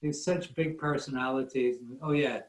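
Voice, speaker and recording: A man's voice: mid-range voice, steady tone, normal speed. A man from Scotland with a Scottish accent, a guest photographer telling rambling, self-deprecating stories in a casual online talk. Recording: roomy echo.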